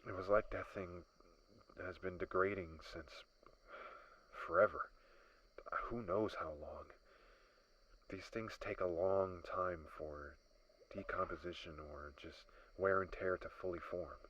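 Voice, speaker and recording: A man playing a stranded space crash survivor in an improvised horror audio drama, unraveling and paranoid, halting and muttering, often dropping into whispers. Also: low voice, fairly even intonation, fairly bright tone, highs cut off. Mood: anxious muttering.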